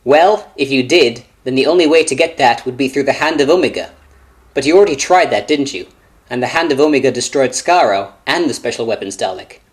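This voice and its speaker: A man performing a scripted comedy parody in a sarcastic, deadpan manner. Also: mid-range voice, fairly quick. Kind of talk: scripted comedy sketch voices and commentary.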